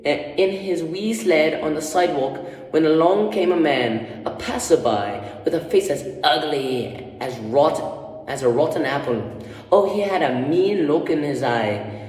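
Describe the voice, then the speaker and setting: A man voicing an excitable, sentimental female servant with an attempted Scottish accent in a rambling, folksy radio-play style. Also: a little high, measured pace. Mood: dramatic storytelling. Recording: echoey room, distant microphone.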